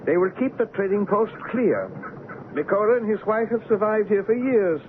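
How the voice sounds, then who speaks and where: A man's voice: high-pitched, expressive, normal speed. A man playing a stern, businesslike Dutch company director in a radio drama, formal and commanding. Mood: authoritative. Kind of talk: old-time radio drama acting. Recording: muffled old radio broadcast, noisy background.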